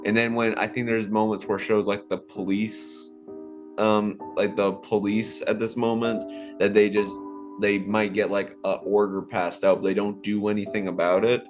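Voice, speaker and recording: Low-voiced male interviewer, halting and casual. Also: level tone. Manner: halting, wordy, full of "like". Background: soft plucked guitar music.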